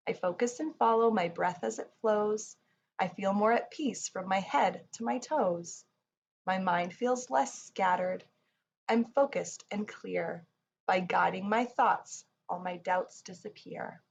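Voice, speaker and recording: A woman reading a rhyming children's book aloud in a measured, soothing storybook delivery. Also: medium pitch, creaky. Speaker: picture book reader. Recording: muffled, faint noise.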